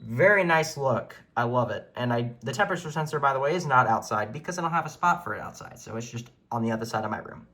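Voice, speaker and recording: A man's voice: medium pitch, expressive, fast, few pauses, pushed and bright, slight background noise. A man hosting a casual show-and-tell in his room at home, talking in an informal, earnest hobbyist way. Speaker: video host showing a weather radio collection.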